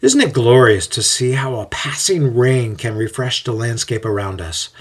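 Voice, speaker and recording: A man plays a wise older rabbi in an audiobook story. He is serene and light-hearted, musing gently and taking his time as he teaches, in a slightly husky voice. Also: medium pitch, very animated, normal speed.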